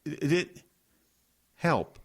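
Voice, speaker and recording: A man playing a well-meaning, clueless boss in a comedic audio drama, hesitant and stammering. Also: very slow. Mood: sheepish. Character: well-meaning, bewildered, socially clueless male radio-show boss.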